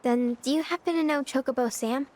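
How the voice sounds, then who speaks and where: Woman voicing an earnest high-voiced little girl. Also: a little fast, darkish tone, natural voice. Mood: earnest, troubled. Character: earnest, sweet little ranch girl, Billy's younger sister. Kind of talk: video game cutscene acting.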